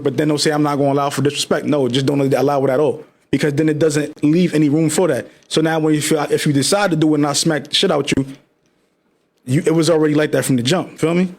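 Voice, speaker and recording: A man co-hosting a talk show, arguing his point fast and heatedly in casual slang. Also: on the high side, fairly even intonation, darkish tone. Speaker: podcast co-host. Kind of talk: casual debate chat.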